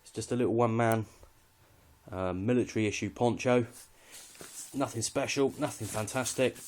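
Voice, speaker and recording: A man's voice: mid-range voice, steady tone, moderate pace, a little hiss or hum. A British man giving a casual, unscripted walkthrough of his kit in a matter-of-fact, slightly hesitant way. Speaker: hobbyist presenter showing radio kit.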